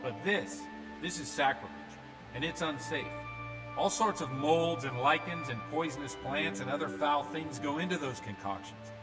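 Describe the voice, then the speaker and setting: An actor playing an earnest, fretful, paternal village priest in a video game, speaking in an emphatic, sermonizing manner. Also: male voice, a little high, moderate pace, close to an everyday voice. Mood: concerned, stern. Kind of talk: dramatic video game acting. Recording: long hall-like reverb under music.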